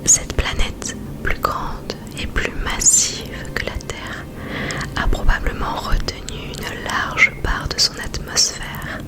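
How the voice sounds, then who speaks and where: Slow whispering woman scientist played by an actress. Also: natural voice. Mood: hopeful, explanatory. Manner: slow, hushed, poetic and solemn. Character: awestruck female space mission scientist guiding an astronaut. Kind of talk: whispered French ASMR roleplay. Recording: music behind the voice.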